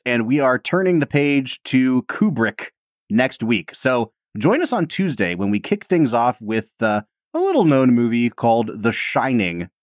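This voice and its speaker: A chatty man co-hosting a podcast, his pitch bouncing up and down as he talks. He pokes fun at himself and laughs a lot. The sound is dry and close, with no room around it. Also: no echo. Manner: chatty, self-deprecating, laughs often. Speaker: podcast co-host. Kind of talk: casual podcast chat.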